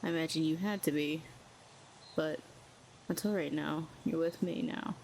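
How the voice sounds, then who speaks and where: A woman's voice: deep voice, steady tone, moderate pace, gravelly, darkish tone, a little hiss or hum. A woman playing a proud, lonely telepathic villain who talks in a sardonic, cool and needling way. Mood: soothing. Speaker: audio roleplay performer. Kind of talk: scripted audio roleplay.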